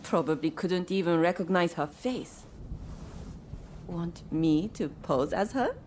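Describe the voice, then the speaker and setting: A woman's voice: lowish voice, slow pace, a little mellow, a little hiss or hum. A woman playing a worldly young geisha in a video game, casual and wry in her delivery. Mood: wry, teasing. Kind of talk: dramatic video game dialogue.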